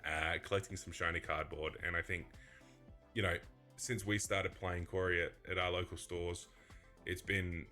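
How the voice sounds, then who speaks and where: A man with a mixed English and Australian accent, co-hosting a casual card-game chat, chatty and quick to laugh. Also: low-pitched, little pitch movement, sharp tone, faint music behind. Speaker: podcast co-host.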